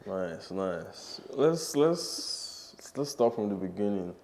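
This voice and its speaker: Man, interview host, somewhat low voice, chatty, upbeat, repeats words. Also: sing-song, slow pace, darkish tone, slight background noise. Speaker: podcast host. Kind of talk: casual interview chat.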